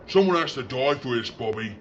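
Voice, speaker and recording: A man's voice: medium pitch, animated, brisk, fairly bright tone, highs cut off, slight background noise, close to an everyday voice. A man playing an angry, taunting working-class London local with a Cockney accent in a wartime audio drama. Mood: angry, defiant. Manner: belligerent, taunting. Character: angry working-class male Whitechapel local, defiant vigilante.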